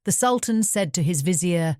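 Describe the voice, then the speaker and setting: Female storybook narrator: mellow, measured bedtime reading, recorded very dry and close. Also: on the low side, expressive, dry recording. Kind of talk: scripted bedtime fairy-tale narration.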